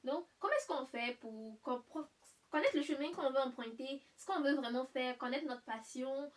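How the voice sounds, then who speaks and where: A young woman hosting a casual interview, asking probing follow-up questions whose pitch climbs as she presses. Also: normal speed, far from the mic. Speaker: host.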